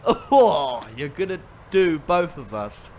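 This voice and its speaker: An actor playing a leering, fake-friendly street predator in an audio drama, with a mocking drawl. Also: male voice, fairly high pitch, very animated, takes it slowly, a little mellow, slight background noise. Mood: smirking, teasing.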